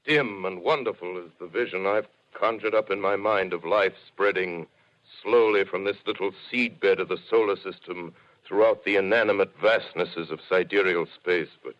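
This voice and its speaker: An actor playing a solemn, reflective scholar who survived an invasion, in grave theatrical phrasing for a 1930s radio drama. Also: male voice, lowish voice, natural voice. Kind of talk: 1930s radio drama monologue, literary narration. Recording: muffled old 1930s radio broadcast.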